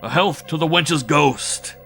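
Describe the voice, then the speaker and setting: Man as a drunken sailor, brutal, swaggering, loud bellow, raised pitch, mocking, storybook villain, music behind. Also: slow, gravelly, heavily acted.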